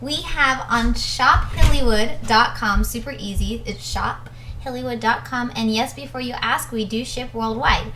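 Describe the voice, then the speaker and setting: A young woman co-hosting a casual live stream, bubbly and excitable, chatting with viewers and reading out their comments. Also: a little high, unhurried, slight background noise. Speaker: co-host of a web show.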